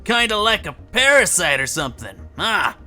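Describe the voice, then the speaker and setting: Skeptical cop for a game dub, played by a man: very high for a male, animated, blunt. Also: normal speed, soft background music, heavily acted. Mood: blunt skepticism. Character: blunt, skeptical male police detective partner. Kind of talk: fan dub voice acting of a video game scene.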